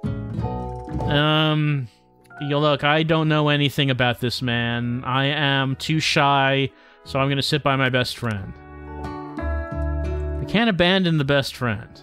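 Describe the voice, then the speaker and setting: A man's voice: mid-range voice, slow, pauses often. A man livestreaming a visual novel, reading the text aloud and adding casual, dry, tongue-in-cheek asides. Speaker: streamer playing a visual novel. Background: game music.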